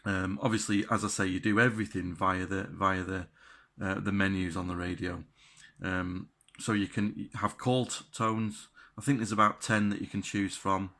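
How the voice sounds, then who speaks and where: A man giving a casual, unscripted product review in a halting but enthusiastic way. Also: sits low, level tone, a bit bright. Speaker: radio gear reviewer.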